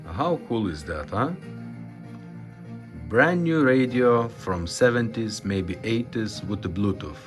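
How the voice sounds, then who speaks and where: Male demo presenter, lively intonation but slow, low-key and halting delivery, casual tone. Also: music in the background. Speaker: presenter demonstrating a retrofitted car radio.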